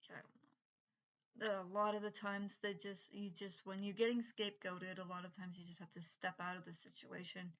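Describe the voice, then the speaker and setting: A middle-aged woman with an unusual voice from breathing troubles, rambling in a halting, casual monologue full of fillers and restarts. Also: mid-range voice, flat delivery, dull audio. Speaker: vlogger.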